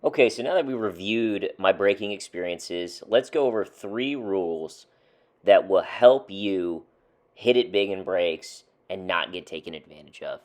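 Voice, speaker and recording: Man, low-pitched voice, recovering from a cold, steady instructive delivery. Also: unhurried. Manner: steady, instructive, counts off rules. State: getting over a cold. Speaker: trading card hobby channel host. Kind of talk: scripted advice to camera.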